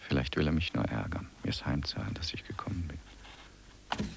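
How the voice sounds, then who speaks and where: A resentful, aloof man with a very low, gravelly voice. He broods in a hushed inner monologue for a radio play. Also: animated, moderate pace, in a whisper, heavily acted. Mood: resentful, brooding.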